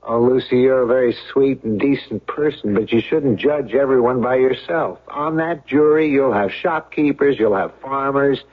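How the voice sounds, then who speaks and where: A man plays an insistent, practical American friend in an old radio drama. His husky voice sits at a medium pitch, pressing each point emphatically and repeating himself. The sound is dull, like an old broadcast. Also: normal speed, hardly any pauses, lightly acted. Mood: firm, warning. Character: practical, wealthy, insistent loyal male friend of accused man.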